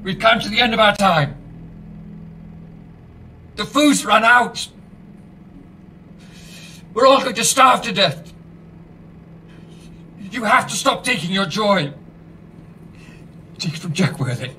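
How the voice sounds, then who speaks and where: British male TV host, bright forced cheer over despair, very animated, distant mic. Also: sits high, takes it slowly, pauses often, slight background noise, full character voice. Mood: desperate. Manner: hesitant, theatrical, full of asides. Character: chirpy male TV presenter cracking into guilt and despair. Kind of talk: rambling broadcast monologue, acted.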